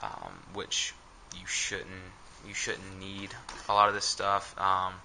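Bright, gravelly low-voiced young man. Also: takes it slowly, a little hiss or hum, low-quality recording. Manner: hesitant, many fillers. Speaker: camping gear reviewer. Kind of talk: casual gear walkthrough. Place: small indoor room.

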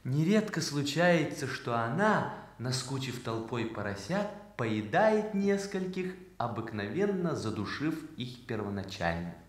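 A man's voice: mid-range voice, sing-song, unhurried, few pauses. An actor playing a mischievous young son in a Soviet radio play, declaiming like a pompous scholar, with an echo on his voice. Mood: mock-pompous. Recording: echoing, roomy voice.

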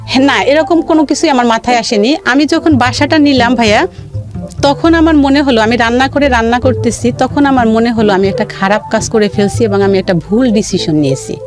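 A woman with a Bangladeshi accent telling her own life story in an interview, in a halting, detailed way with repeated phrases. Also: a little high. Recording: music playing behind the voice. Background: background music.

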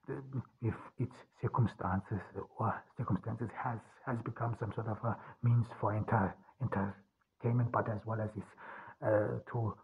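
A middle-aged man from Austria with a deeply husky, breathy voice that sits a little low. He talks through his ideas alone, haltingly, stopping and restarting often. The sound is thin and tinny, as if over a phone line. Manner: halting, many restarts and fillers. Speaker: podcast host. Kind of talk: solo spoken monologue.

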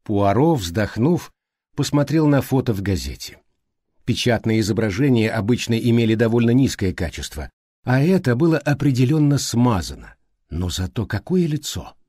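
A man's voice: lowish voice, very animated, normal speed, mellow, breathy edge, no room sound, clean studio sound. A man reading literary narration for an audiobook.

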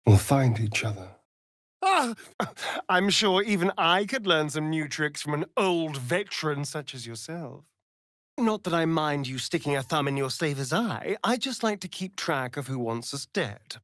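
An actor with an English accent playing a sly, vain, mocking vampire elf rogue in a fantasy game, arch and teasing in manner. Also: male voice, mid-range voice, no echo, studio quality. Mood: teasing, silky. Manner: arch, languid, teasing, theatrical. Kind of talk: theatrical fantasy game voice acting.

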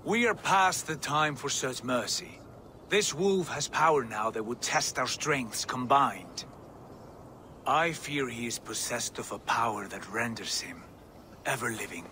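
An actor playing an earnest, compassionate Norse god-warrior in a video game, speaking solemnly. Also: male voice, mid-range voice, measured pace, sharp tone, a little hiss or hum. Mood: sorrowful, grave. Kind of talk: cinematic video game acting.

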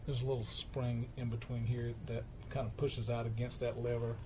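Halting man narrating over clear background noise. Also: medium pitch, flat delivery. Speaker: electronics repair vlogger. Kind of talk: informal hands-on repair narration. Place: indoor electronics workbench. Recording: clearly noisy workshop camera recording.

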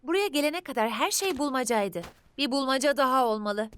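A woman's voice: high-pitched, brisk, a bit bright, clean tone. A woman voicing a kind, eager, busy young girl heroine in an earnest, upbeat way for a children's cartoon dub. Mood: curious.

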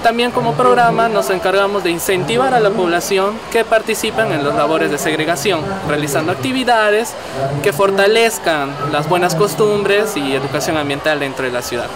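A man's voice: high voice, normal speed, over a noisy crowd. A young man with a Peruvian accent presenting a public program as its representative at an outdoor fair stall. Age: young adult. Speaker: municipal recycling program representative. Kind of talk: prepared presentation to camera. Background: loud music.

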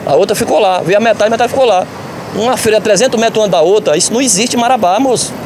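A man's voice: high voice, rapid-fire. A middle-aged man, complaining emphatically and repetitively in an outdoor street interview. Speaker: market vendor interviewee. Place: open-air market. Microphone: handheld reporter microphone. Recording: clear outdoor street noise.

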